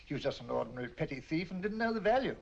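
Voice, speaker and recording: Brief: affable British collector, lively higher voice, chuckly, muffled vintage sound with faint hiss. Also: male voice, rapid-fire, creaky. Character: affable middle-aged male collector. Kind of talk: 1940s film acting. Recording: dull old film soundtrack.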